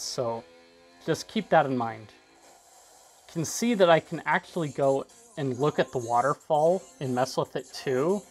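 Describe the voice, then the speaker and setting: A man giving a casual, off-the-cuff tech demo, rambling a little and trailing off mid-thought. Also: a little high. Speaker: tech video creator demonstrating a device.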